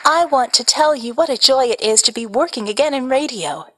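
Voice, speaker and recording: A woman's voice: sits high, brisk, background noise, close to an everyday voice. A woman playing a glamorous female movie star appearing on an old-time radio show. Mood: gushing. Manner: polished, glamorous. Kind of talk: 1940s radio acting.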